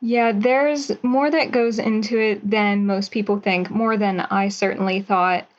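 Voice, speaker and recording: A young woman in her twenties, a writer, answering slowly and thoughtfully in an interview. Her voice comes through somewhat dull and muffled, like a remote call. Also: mid-range voice, fairly even intonation. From Pennsylvania, USA. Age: 20s. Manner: measured and thoughtful, with some hesitation fillers. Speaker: writer and guest.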